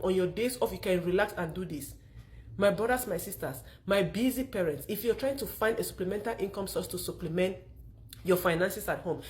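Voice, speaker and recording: A middle-aged woman with a Cameroonian accent gives a motivational testimonial pitch, speaking emphatically with repeated phrases. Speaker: online marketer telling her own story.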